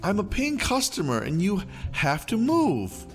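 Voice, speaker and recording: A man's voice: sits high, huge pitch swings, unhurried, soft background music, pitched above the performer's own voice, exaggerated voice. A man voicing an entitled, demanding middle-aged mother who is indignant and bossy.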